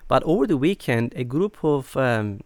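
A middle-aged man from Turkey, speaking as an interview guest in a halting way with many fillers. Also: medium pitch, very animated, no echo. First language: Turkish. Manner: hesitant, many fillers and restarts. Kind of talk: conversational interview.

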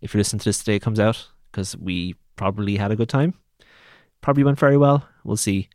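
A man hosting a podcast, easygoing in chat and brisk in his sign-off. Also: on the low side, darkish tone, no room sound, clean studio sound. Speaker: podcast host. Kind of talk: casual chat.

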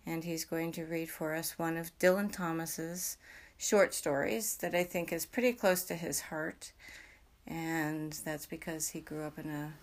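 A woman with a slightly low voice acting as host. She speaks casually, introducing and putting simple questions to her guest. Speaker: interviewer and host.

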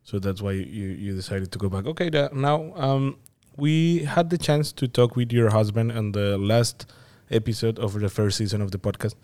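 A man's voice: lowish voice, moderate pace, a little mellow. A man hosting a podcast interview, asking questions and recapping the guest's answers. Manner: asks follow-ups, recaps answers. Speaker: podcast host.

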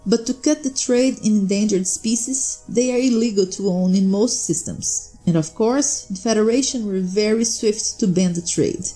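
A man's voice: sits high, moderate pace, few pauses, warm tone, faint music behind, voice pushed up, close to an everyday voice. A man voicing a sly, businesslike smuggler woman in a sci-fi story reading, breezy and knowing. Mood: matter-of-fact.

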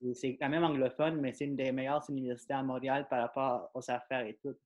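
A man's voice: medium pitch, level tone, quick pace, a little mellow. A man hosting a podcast, asking questions in a loose, informal way. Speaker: podcast host. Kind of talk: casual interview questions.

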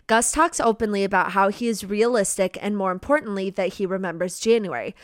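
A woman's voice: medium pitch, a little fast, no echo, studio quality. A young woman co-hosting a book podcast, chatting casually in an enthusiastic, emphatic, gushing way.

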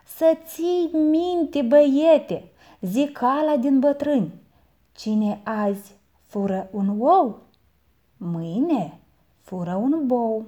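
A woman voicing a sharp-tongued middle-aged aunt who scolds with proverbs. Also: high-pitched, lively intonation. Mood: preachy.

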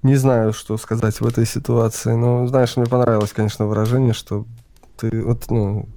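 A man interviewing, asking questions haltingly and searching for words. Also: medium pitch, flat delivery, dark tone, slight background noise. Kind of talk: casual interview.

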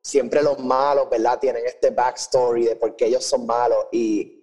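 A man's voice: medium pitch, little pitch movement, a little slow, darkish tone, low-quality recording. A man with a Puerto Rican accent co-hosting a podcast review, chatting casually and mixing English phrases into his Spanish. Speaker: podcast co-host reviewing an audio drama. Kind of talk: casual chat.

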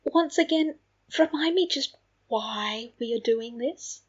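Woman doing a brash, mocking rebel wizard, raised pitch. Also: slow, breathy edge, highs cut off. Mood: exasperated. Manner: mocking, loud, theatrical.

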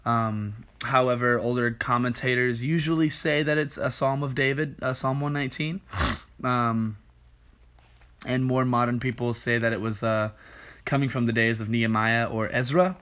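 A young man, a youth worship leader, giving a casual Bible study talk in a tired, slightly hoarse voice, often saying um and clearing his throat. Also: mid-range voice, fairly even intonation, moderate pace, fairly bright tone. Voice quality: many ums and throat clearing.

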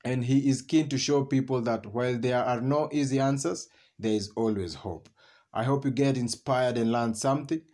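A man hosting a podcast, reading a measured scripted introduction of his guest. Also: mid-range voice, darkish tone. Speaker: podcast host.

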